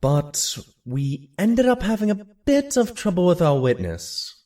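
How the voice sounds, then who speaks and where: A man's voice: high voice, big pitch swings, unhurried, warm tone. A man playing an earnest, polite pony doctor and detective's assistant in a cartoon audio drama, articulate and courteous. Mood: composed.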